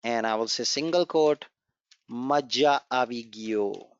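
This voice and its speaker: A man whose first language is Gujarati leads an online programming class. He encourages the students and goes over each step more than once. His voice sounds dull and dry, like a low-fi recording from a computer mic. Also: slow, clean tone, no room sound. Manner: encouraging, repeats key points, walks through code step by step. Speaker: programming instructor. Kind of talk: live online coding class.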